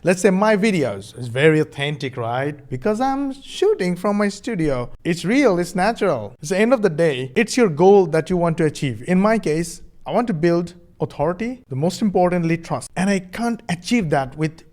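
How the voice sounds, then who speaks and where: Host, male, slightly high voice, salesy. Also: sing-song, normal speed, few pauses, darkish tone. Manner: salesy, asks viewers pointed questions. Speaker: online business video host. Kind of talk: casual commentary.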